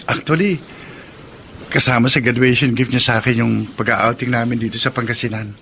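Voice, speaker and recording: A man's voice: mid-range voice, steady tone, a little fast, bright, forceful tone, slight background noise. An actor playing a sensitive, polite young man grieving his sister, in a Filipino radio drama with a Filipino accent. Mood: wistful, sorrowful. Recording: music bed behind the voice.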